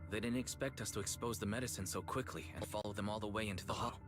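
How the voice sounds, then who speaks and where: An actor playing a calm, thoughtful young investigator in video game dialogue. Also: male voice, lowish voice, fast, music in the background, natural voice.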